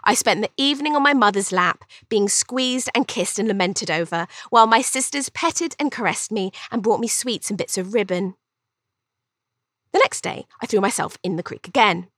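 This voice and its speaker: Woman doing a cheeky vain young regency english lady, bright voice, swoops about, arch gossipy audiobook storytelling. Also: mid-range voice, animated, normal speed, no room sound, studio quality. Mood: wry, confiding. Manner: arch, chatty, theatrical. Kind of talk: first-person audiobook storytelling.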